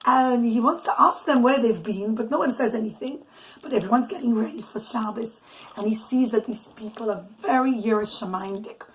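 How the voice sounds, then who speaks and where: A woman with a fairly high voice tells a bedtime story as a mother would to her children. She is animated and conversational, improvising as she goes and dropping in Hebrew and Yiddish religious words. There is some background noise on the homemade recording. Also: a little fast, low-quality recording. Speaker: mother telling bedtime stories to children and listeners. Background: noise, clicks, small children nearby.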